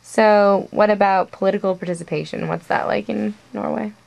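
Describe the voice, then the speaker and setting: Creaky-voiced young woman asking questions. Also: mid-range voice, steady tone, normal speed, a little mellow, rough recording. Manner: hesitant, casual phrasing with many fillers. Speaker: student interviewer. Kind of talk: informal interview questions.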